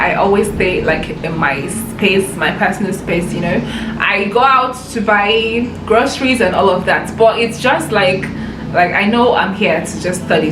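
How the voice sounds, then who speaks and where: Young woman from Nigeria, hesitant casual talk, roomy echo, noisy background. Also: fairly low pitch. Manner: measured, hesitant, many ums. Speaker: guest, medical student. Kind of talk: casual chat. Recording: echoey room with steady background noise.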